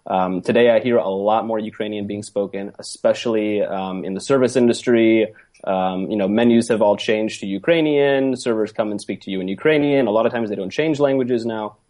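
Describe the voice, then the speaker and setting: Low-voiced, hesitant male guest. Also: fairly quick, mellow. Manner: hesitant, many ums and restarts. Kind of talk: conversational interview.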